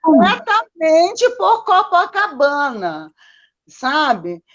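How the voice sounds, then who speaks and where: Chatty female Brazilian guest, high voice, casual storyteller full of tag questions, muffled lo-fi audio. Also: huge pitch swings, very slow. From born in Manaus, lived mostly in Minas Gerais, Brazil. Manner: chatty, constantly seeking agreement with tag questions. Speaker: guest, Copacabana resident.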